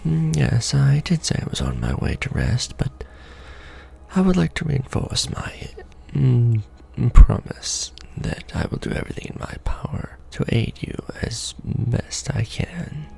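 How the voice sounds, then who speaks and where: A man playing a courtly, ancient vampire lord in an ASMR roleplay, speaking intimately and formally in a gravelly, often breathy half-whisper with measured pauses. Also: mid-range voice, very animated, a little mellow, heavily acted. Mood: apologetic, courteous. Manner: formal, archaic wording, measured delivery with pauses. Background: music.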